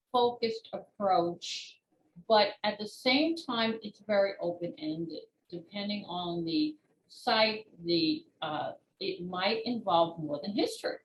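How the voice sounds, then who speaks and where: An older woman, in her sixties or beyond, answering interview questions as an author and former teacher in a measured, explanatory way with pauses between short phrases. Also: mid-range voice, lively intonation. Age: 60s or older. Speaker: children's book author and retired teacher being interviewed. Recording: roomy echo with clear background noise.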